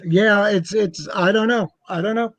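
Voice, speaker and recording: High-voiced joking man, dry close sound. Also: moderate pace, no room sound. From New York, United States. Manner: joking, rambling. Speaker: comic radio show co-host. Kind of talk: casual anecdotal chat.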